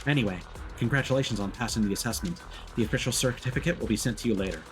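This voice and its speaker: A man voicing a formal, measured research examiner from a video game. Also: mid-range voice, music in the background, lightly acted. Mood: formal, explanatory.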